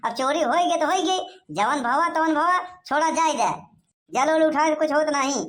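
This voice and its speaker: A woman's voice: moderate pace, fairly bright tone. A woman voicing a sly, cheeky young village lad in a cartoon, with an Awadhi accent and a whiny manner. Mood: evasive. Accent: Awadhi, eastern Uttar Pradesh. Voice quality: high-pitched cartoon voice.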